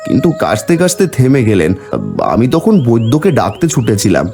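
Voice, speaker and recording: A man's voice: mid-range voice, sing-song, normal speed, music in the background, lightly acted. A man voicing an honest, good-hearted, humble elder brother from a village in a cartoon fairy tale. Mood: humble, questioning.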